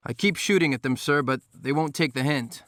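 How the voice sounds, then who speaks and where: An actor playing an earnest, deferential army corporal in a video game cutscene. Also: male voice, medium pitch, a little fast, a bit bright, no room sound, studio quality, close to an everyday voice. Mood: wry. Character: earnest young male American army corporal. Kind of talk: scripted game cutscene dialogue.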